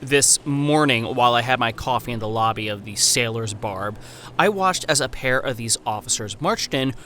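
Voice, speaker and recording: Casting: male actor as wary young 1930s anthropologist, formal and precise, sharp forward tone, horror podcast letters, noisy backdrop. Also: mid-range voice, close to an everyday voice. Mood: intent, troubled. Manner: formal, precise, measured diction. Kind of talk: scripted horror audio drama, letter narration.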